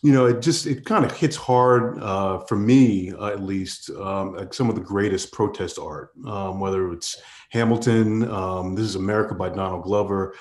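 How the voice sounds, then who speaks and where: A middle-aged man moderating an interview over a video call, asking measured questions with many ums. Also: deep voice, darkish tone. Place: home study with bookshelves, indoors. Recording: echoey room on a video call.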